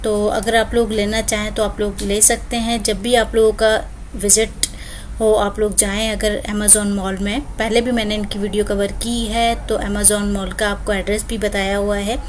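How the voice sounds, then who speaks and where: A woman's voice: mid-range voice, level tone, moderate pace, slight background noise. A woman, chatting through a clothing store walkthrough as a shopping vlogger, filmed on a phone. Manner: chatty, lists prices and discounts.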